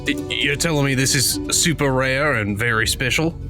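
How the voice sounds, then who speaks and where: A man playing in a tabletop roleplaying game, chatty and playful, often halting mid-sentence. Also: mid-range voice, music in the background. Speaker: player.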